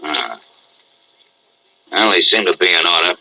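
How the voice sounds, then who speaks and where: Sharp, brusque American army sergeant. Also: male voice, sits low, expressive, measured pace, gravelly, distant mic, muffled recording, heavily acted. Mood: suspicious, curt. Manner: brusque, interrogating. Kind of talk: 1940s radio drama acting.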